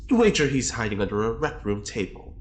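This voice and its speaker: An actor playing a wry, teasing prisoner and schemer in an audio drama, with a droll, arch, playful manner. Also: male voice, mid-range voice, sing-song, brisk, natural voice.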